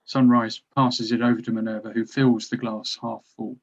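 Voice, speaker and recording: A man's voice: mid-range voice, level tone, normal speed, dull audio. A man narrating stage directions from a script at a drama table read.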